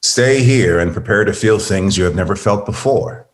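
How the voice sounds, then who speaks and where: An actor playing the devil's solemn, hateful brother, threatening in manner, in a drama script read. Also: male voice, lowish voice, normal speed, lightly acted. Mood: menacing.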